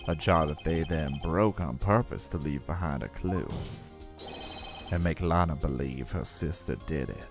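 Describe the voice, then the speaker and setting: A man voicing a cool, analytical prosecutor in a courtroom game, measured and grave. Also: extremely low pitch, music in the background.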